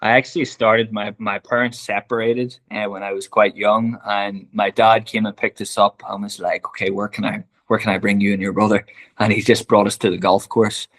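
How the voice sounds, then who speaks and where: A man's voice: on the low side, little pitch movement, normal speed, fairly bright tone. A man from Northern Ireland with a Northern Irish accent, a golf director talking earnestly and heartfelt as a podcast guest. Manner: earnest, heartfelt, repeats "very much like". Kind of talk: casual conversational interview.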